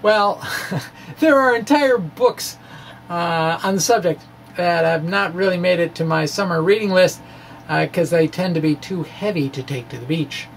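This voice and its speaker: Halting, wry man on a noisy recording. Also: high voice, gravelly. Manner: halting, wry, chuckles at own asides. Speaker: amateur physics blogger. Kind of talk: informal dramatic reading of a physics blog.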